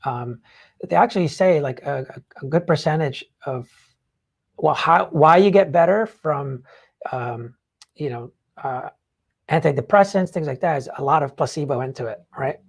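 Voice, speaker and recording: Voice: mid-range voice, normal speed, vocal fry, husky. A podcast host talking casually and emphatically in a rambling way, swearing freely while explaining ideas. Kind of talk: casual talk explaining book takeaways.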